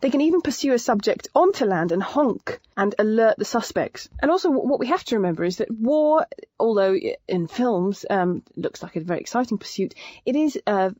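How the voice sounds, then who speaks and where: A woman playing an earnest, daft author interviewed on a spoof radio show, deadpan and hesitant. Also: on the high side, a little fast, hardly any pauses, no echo, studio quality. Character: earnest, daft female animal-war author. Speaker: studio guest.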